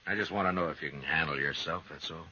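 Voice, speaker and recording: An actor playing a steady, authoritative American frontier marshal in 1950s radio western style, measured and terse. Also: male voice, very deep voice, husky, full character voice. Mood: businesslike. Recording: dull, noisy 1950s radio recording.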